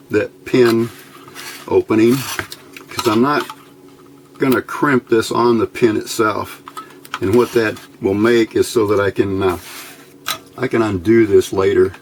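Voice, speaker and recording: Slow, low-voiced man giving a tutorial. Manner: unhurried, thinking aloud. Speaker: electronics hobbyist instructor. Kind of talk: casual step-by-step tutorial. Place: indoors at a workbench by a window. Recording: faint background noise.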